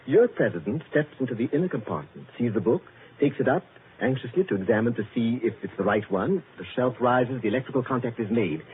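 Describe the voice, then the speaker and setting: An actor playing a suave, crafty captive inventor, urbane and drily polite, in old-time radio thriller style. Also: male voice, mid-range voice, lively intonation, close to an everyday voice. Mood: slyly smug. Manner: urbane, measured, drily understated. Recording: tinny, noisy old radio recording.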